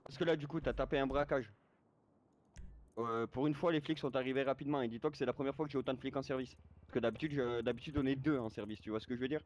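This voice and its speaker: Guy talking fast in online rp chat, lecturing like a mod, keeps checking you get it. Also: medium pitch, steady tone, a little mellow. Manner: lecturing, repeatedly checks he is understood. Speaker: game server moderator. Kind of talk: argumentative explaining in online role-play chat.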